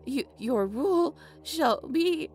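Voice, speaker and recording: A woman playing an obsessive, devoted female cult leader in a roleplay audio drama, with a breathy, reverent, theatrical delivery. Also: high-pitched, lively intonation, takes it slowly, darkish tone, a little hiss or hum. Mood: urgent, commanding. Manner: breathy, reverent, theatrical incantation with nervous stammers.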